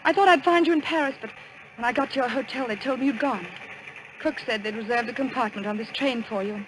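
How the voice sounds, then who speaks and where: A woman's voice: a little high, fast, natural voice. An actress playing a worried, proper young English woman with a British accent, hesitant and prim, in old radio drama style. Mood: anxious, earnest. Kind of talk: 1940s radio acting. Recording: noisy old radio recording.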